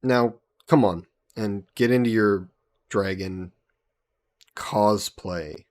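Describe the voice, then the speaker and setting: A man playing a slow-talking, easygoing young hero. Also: on the low side. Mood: playful teasing. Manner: casual, playful, gently coaxing. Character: easygoing, teasing young male isekai hero adventurer. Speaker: voice actor. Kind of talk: intimate roleplay audio drama.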